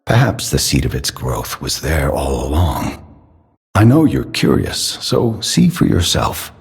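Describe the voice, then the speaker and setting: An actor playing an old, fatherly puppet maker in a video game, tender yet menacingly obsessive, speaking in a theatrical, solemn, measured way. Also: rumbling low, very animated, creaky, heavily acted. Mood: gentle, musing. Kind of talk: dramatic video game character acting. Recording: long cavernous reverb.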